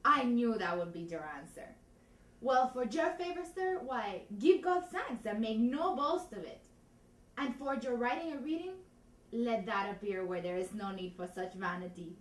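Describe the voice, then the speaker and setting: A woman's voice: medium pitch, very animated, normal speed, darkish tone, natural voice. Casting: woman as pompous, self-important stage constable with a comic put-on accent, declamatory, recorded at a distance in an echoey room. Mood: pompous, emphatic. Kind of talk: Shakespearean comedy, theatre audition reading.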